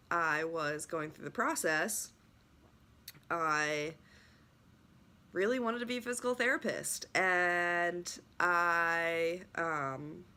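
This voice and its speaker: A young woman with a low-pitched but bright, forward voice, still a little worn from a recent illness. She talks in a loose, rambling way, circling back and restarting her sentences as she tells her story to the camera. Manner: rambling, many restarts and fillers. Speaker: lacrosse goalie trainer. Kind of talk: casual vlog storytelling.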